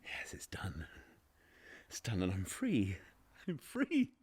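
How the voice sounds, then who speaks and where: An actor playing a mad, obsessive, boastful murderer who narrates a radio play in a halting, theatrical way, moving between whispers and shouts. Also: male voice, deep voice, huge pitch swings, slightly rough, full character voice. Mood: manic, gloating. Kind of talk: dramatic radio play monologue. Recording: live-recorded radio play.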